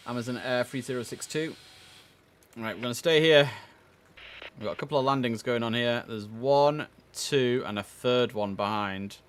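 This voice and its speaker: A man's voice: medium pitch, normal speed, clean tone. A man live streaming as an air traffic controller, mixing chatty muttered asides with clipped, formal radio-style instructions.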